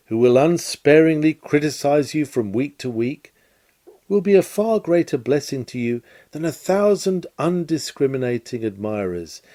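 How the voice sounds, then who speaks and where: Mellow man reading an old sermon, lively intonation. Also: mid-range voice. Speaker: reader of sermons and lectures. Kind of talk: scripted reading of a 19th-century sermon lecture.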